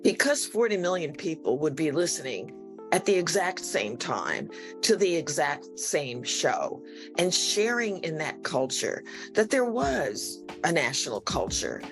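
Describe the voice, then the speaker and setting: A woman's voice: low-pitched, very animated, a little slow. A woman explaining history conversationally as an interviewed expert. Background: music.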